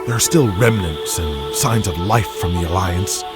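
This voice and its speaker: A man's voice: sits low, animated, normal speed, loud music behind the voice, pitched below the performer's own voice. A man playing a ruthless, gloating wrestling empire boss in an audio drama, pompous and menacing as he makes his speeches. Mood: menacing, contemptuous.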